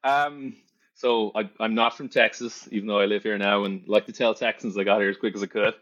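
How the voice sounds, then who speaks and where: A man with an Irish accent and a very low voice talks through his career as a guest in an interview. He is friendly and conversational, and he often stumbles and restarts his words. The recording sounds muffled and a little lo-fi. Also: fairly even intonation, fairly quick, fairly bright tone. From Ireland. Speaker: guest, services executive.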